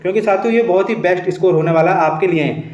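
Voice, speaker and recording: High-pitched man explaining, echoey lo-fi. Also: fast, a little mellow. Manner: repeatedly addresses listeners as friends, repeats points for emphasis. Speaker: host of an exam coaching channel. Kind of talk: explanatory exam-update presentation. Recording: dull, lo-fi, echoey room recording.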